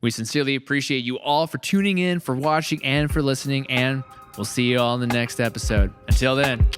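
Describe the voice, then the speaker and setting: A young man hosting a casual podcast chat, jokey and quick to laugh, often restarting his sentences. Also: mid-range voice, soft background music. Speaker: podcast host and series creator.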